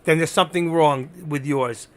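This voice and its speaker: A man with a gravelly, hoarse voice that is giving out, doing unscripted live trading commentary, thinking aloud, repeating himself and instructing his viewers. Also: a little high, steady tone, moderate pace, fairly bright tone. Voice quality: gravelly, creaky. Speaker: live stock-trading streamer.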